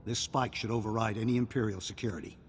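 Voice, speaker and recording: An actor playing a serious military officer in a video game, giving briefings in a clipped, authoritative way. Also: male voice, on the low side, steady tone, fast talker, slight background noise, close to an everyday voice. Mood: serious, commanding. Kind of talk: scripted video game acting. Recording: game cutscene with music underneath.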